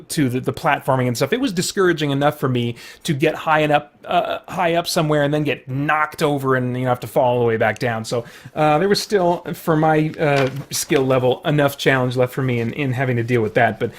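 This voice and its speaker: A man talking casually and off the cuff as a video host, in an informal, rambling way, thinking aloud as he goes. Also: on the high side. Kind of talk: casual unscripted chat about retro games.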